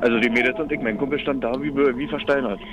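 A man's voice: medium pitch, steady tone, quick pace. A man, an eyewitness, telling an anecdote casually in an interview clip. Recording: noisy, dull interview clip.

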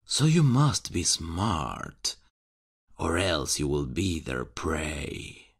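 A man playing a menacing, mocking male serial killer in an ASMR horror roleplay, taunting and chuckling in a hushed voice. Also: extremely low pitch, sing-song, drawn-out words, husky, full character voice.

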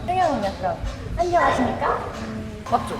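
A woman's voice: high-pitched, sing-song, brisk. A woman from Seoul chatting casually and playfully with her friends, with a teasing manner. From Seoul, South Korea. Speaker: vlogger's friend. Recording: loud music over the voice. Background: loud music.

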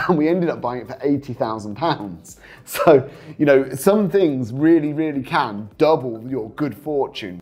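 A British man speaks in a highly varied, animated pitch with a slightly rough-edged voice. He coaches viewers informally, explaining step by step in a persuasive way and checking in with rhetorical questions. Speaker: host, property investing coach. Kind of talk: informal instructional talk to viewers.

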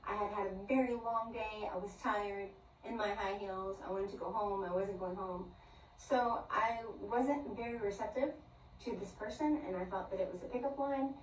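A woman's voice: mid-range voice, normal speed. An older woman tells her personal story into a phone camera, slipping into the voices of the people in it. The sound is roomy and distant, with a faint hiss underneath. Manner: animated storyteller who acts out dialogue. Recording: roomy far-off clip with faint noise.

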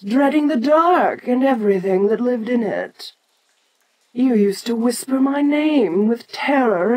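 Woman acting as female giant monster queen, audio roleplay, domineering, haughty, condescending, unhurried delivery, very expressive intonation, medium pitch. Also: low-quality recording. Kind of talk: scripted audio roleplay addressed to the listener.

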